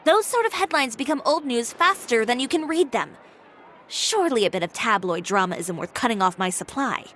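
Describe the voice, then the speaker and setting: A woman's voice: on the high side, expressive, a little fast, fairly bright tone, slight background noise. A woman playing a blunt, self-assured young fashion designer in a video game, composed and direct. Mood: brisk, dismissive.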